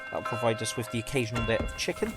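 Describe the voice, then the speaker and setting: A man giving casual, subdued gameplay commentary in halting phrases, his voice slightly off from a sore throat. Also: medium pitch, lively intonation, over loud music. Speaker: video game let's player. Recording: clearly noisy background.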